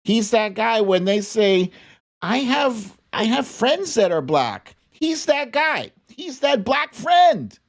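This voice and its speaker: Casting: American political show host, medium pitch, slow deliberate pace, blunt and indignant, speaking off the cuff with heavy emphasis. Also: big pitch swings. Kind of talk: opinionated political commentary, unscripted.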